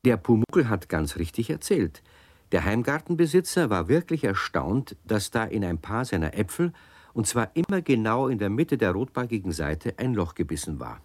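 A man's voice: fairly low pitch, big pitch swings, moderate pace, a little mellow, dry recording. A man narrating a children's radio play as a cozy storyteller with playful emphasis.

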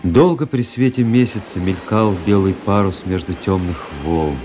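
A low-pitched actor as a male army officer tells his story in a radio play, measured and reflective. He is wry and melancholy, a literary narrator with music playing behind him. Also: lightly acted. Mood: wistful, reflective.

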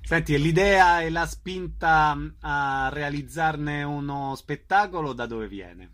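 A man's voice: on the high side, slow pace, fairly bright tone. A man hosting a casual radio interview, putting questions to his guests. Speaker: radio theatre show host and interviewer.

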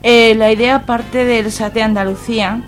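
A woman's voice: on the high side, steady tone, normal speed, soft background music. A woman with an accent from Spain, an activist guest in a radio interview, speaking conversationally in hesitant, piecemeal phrases. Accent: Spanish from Spain.

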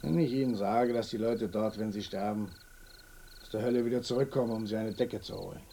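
An actor playing a sardonic, fatalistic village muleteer in a radio play, speaking in a wry, cryptic way. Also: male voice, lowish voice, gravelly, mellow, a little hiss or hum. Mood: ominous, matter-of-fact. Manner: wry, cryptic, drawn-out interjections. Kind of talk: German radio play acting.

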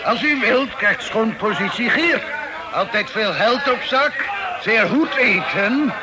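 Wheedling pirate, high voice, slow unctuous broken speech, very noisy radio drama. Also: male voice, animated. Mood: oily wheedling. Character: oily, wheedling foreign pirate recruiter turning menacing. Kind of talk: radio play acting. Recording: very noisy old radio recording.

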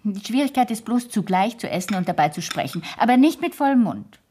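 Posh bossy older great-aunt, worldly, lecturing like in an old radio drama. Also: female voice, medium pitch, sing-song, a little fast, dark tone, husky. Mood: brisk, instructing. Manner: grand, instructing, exacting. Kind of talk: 1970s German radio play acting.

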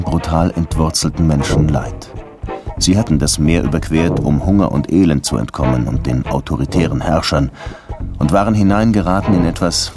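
Very deep-voiced brooding detective. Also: male voice. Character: brooding male police detective narrating his case. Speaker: narrator and protagonist. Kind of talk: radio drama narration. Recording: music under the voice.

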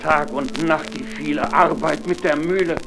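A man's voice: on the high side, steady tone, moderate pace, music in the background, close to an everyday voice. A man playing a poor miller in a fairy-tale radio play, a sighing, hesitant father who is both fawning and boastful. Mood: grumbling.

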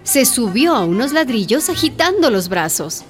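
A woman's voice: on the high side, very animated, normal speed. A woman narrating a scripted radio drama.

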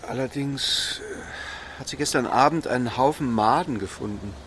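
A man's voice: mid-range voice, lively intonation, normal speed, a bit bright, close to an everyday voice. Man playing a patient, coaxing father, some noise. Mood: mildly defensive. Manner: coaxing, earnest, parental. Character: patient, encouraging middle-aged father. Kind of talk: naturalistic radio drama dialogue.

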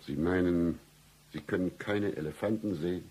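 Very deep-voiced seedy detective. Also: male voice, normal speed, husky. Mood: deadpan, wry. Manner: florid, melodramatic seductive patter with deadpan humour. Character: seedy broke male private detective, absurdly flirtatious. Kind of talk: satirical radio play dialogue.

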